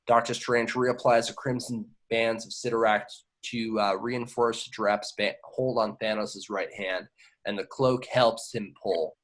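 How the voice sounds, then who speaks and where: A man's voice: lowish voice, fairly even intonation, measured pace. A man reading scripted action descriptions at an amateur table read, now and then stumbling and repeating words. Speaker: stage directions reader.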